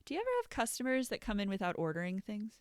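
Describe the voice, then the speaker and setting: A woman's voice: medium pitch, lively intonation, smooth, no echo, clean studio sound. Curious, supportive woman host. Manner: supportive, curious, quick reactions. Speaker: podcast host. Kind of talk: casual interview.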